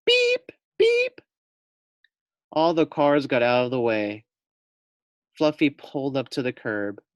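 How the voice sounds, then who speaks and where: A man's voice: medium pitch, a little slow, smooth, no room sound, muffled recording. A man reading a children's storybook aloud as a teacher, expressively, putting questions directly to young listeners.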